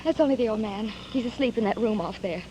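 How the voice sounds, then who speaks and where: A woman's voice: on the high side, fast talker, natural voice. A woman playing a frightened pleading young woman. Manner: anxious, pleading, breathless. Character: frightened young woman in love, named Ruth. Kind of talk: 1940s radio acting. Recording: dull old radio broadcast with hiss.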